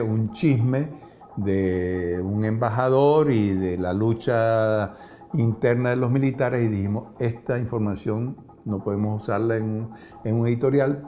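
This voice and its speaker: Older man, soft dark tone. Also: mid-range voice, a little hiss or hum. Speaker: interviewee, former guerrilla radio member. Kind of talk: spoken recollection in interview.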